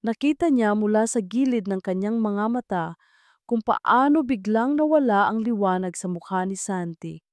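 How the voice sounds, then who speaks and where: A woman's voice: medium pitch, animated, moderate pace, dry recording. A woman reading a scripted dramatic love story as a storyteller.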